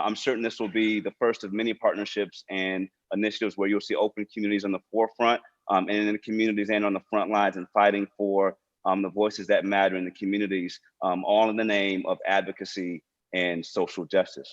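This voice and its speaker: A man's voice: fairly low pitch, little pitch movement, fairly quick. A man speaking as a nonprofit director, giving informal prepared remarks to an online panel over a video call. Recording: dull, lo-fi video call audio.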